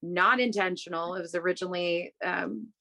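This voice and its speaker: A woman's voice: on the low side, steady tone, normal speed, vocal fry, sharp tone. Chatty woman on a video call. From Massachusetts, USA. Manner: chatty, many fillers, upbeat. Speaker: bookstore owner, guest. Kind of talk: casual interview answers. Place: home room.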